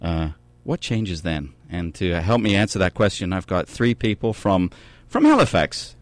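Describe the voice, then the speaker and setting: A man's voice: low voice, big pitch swings, moderate pace, dry recording. A man hosting a radio studio panel, hesitant and searching for his words. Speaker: radio show host.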